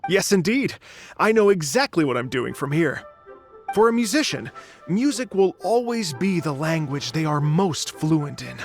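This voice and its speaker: A man's voice: on the high side, very animated, moderate pace, faint music behind. A man playing an earnest, courteous and slightly flustered musician in an anime video game. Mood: grateful, inspired. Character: earnest, hopeful, polite male musician.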